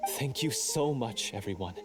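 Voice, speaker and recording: A repentant young hunter acted by a man, hesitant and earnest, with a mid-pitched voice. It is video game acting, with plucked music clearly behind him. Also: lively intonation, moderate pace, lightly acted. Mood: remorseful, earnest.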